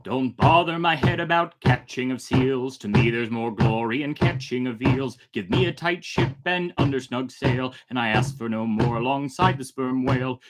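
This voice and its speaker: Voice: mid-range voice, moderate pace, few pauses, over music, natural voice. A storyteller voicing a hearty old-time whaling sailor who declaims sea shanty verse in a rousing, emphatic way.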